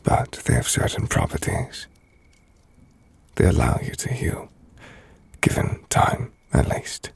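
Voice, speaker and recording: A man playing a brooding, gentle, melancholy vampire-blooded castle lord in an intimate ASMR audio roleplay, hushed and husky, speaking slowly in measured, formal phrases. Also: dry recording, lightly acted. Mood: quietly reassuring. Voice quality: gravelly, husky, breathy.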